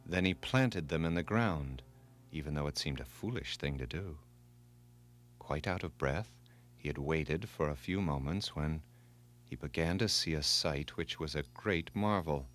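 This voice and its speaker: A man narrating a scripted myth for children in a formal, measured, dramatic storytelling manner. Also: rumbling low, a bit bright.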